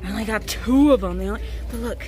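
A woman in her forties filming a shopping vlog on a handheld phone, gushing and exclamatory as she shows her finds. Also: mid-range voice. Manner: gushing, exclamatory, quick bursts of excitement. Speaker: shopping vlogger. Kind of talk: excited shopping haul vlog. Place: parked car, then indoor retail store. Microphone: handheld phone camera.

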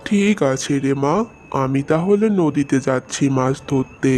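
A man playing a caring, middle-aged village fisherman father in a fairy-tale cartoon, speaking in a gentle, fatherly way. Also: mid-range voice, unhurried, soft background music, lightly acted. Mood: affectionate, busy.

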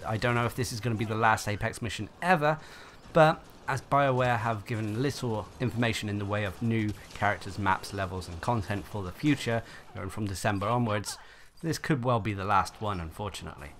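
A man's voice: fairly low pitch, fairly quick, fairly bright tone, a little hiss or hum. A man hosting a gaming channel, narrating a scripted information video.

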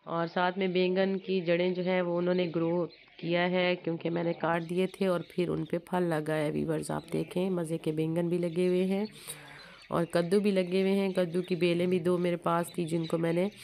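A young woman from Pakistan with a slightly hoarse throat, chatting to viewers in a casual vlog while walking through fields and her village home outdoors. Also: fairly low pitch, level tone, normal speed, darkish tone. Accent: Punjab. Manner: chatty, addresses viewers often. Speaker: village vlogger. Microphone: phone camera held nearby. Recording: lo-fi phone audio with outdoor noise.

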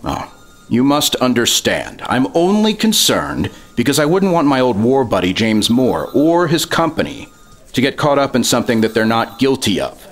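An actor playing a sly, self-important government official in a retro 1950s radio drama, smooth-talking and insinuating. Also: male voice, mid-range voice, sing-song, normal speed. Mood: probing, guarded.

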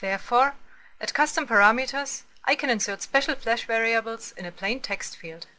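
A woman reading scripted screencast narration as a tutorial narrator, in a measured, instructional manner. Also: a little high, sharp tone.

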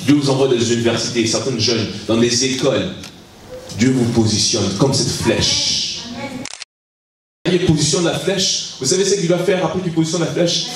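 Impassioned preacher in an echoey hall. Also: male voice, mid-range voice. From Congo (Kinshasa). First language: English is his stronger language. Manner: impassioned, halting French with restarts. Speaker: guest preacher. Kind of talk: sermon to young people. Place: large church hall, indoors. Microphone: handheld mic. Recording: echoey hall, mic heard from a distance.

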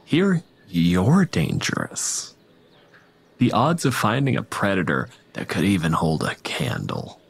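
A man's voice: low voice, huge pitch swings, takes it slowly, vocal fry, husky. A man acting as game master, narrating and asking questions in an improvised, conversational tabletop style. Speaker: game master and podcast host.

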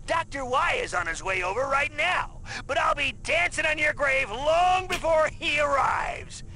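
An actor playing a furious, vengeful police chief in a video game, with menacing, theatrical taunts. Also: male voice, very high voice, animated, a little slow, pushed and bright, heavily acted. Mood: furious, gloating. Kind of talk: video game cutscene acting.

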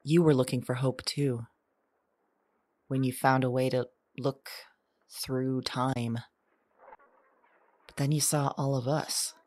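A woman's voice: extremely low pitch, darkish tone, dry recording, full character voice. A woman playing an anxious, searching modern woman in an audio drama, with a hesitant, confessional delivery. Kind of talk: audio drama monologue acting.

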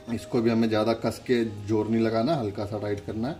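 Low-pitched man giving a step-by-step demo. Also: level tone, brisk, mellow, soft background music. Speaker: product demonstrator and channel host. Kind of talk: casual step-by-step demo narration.

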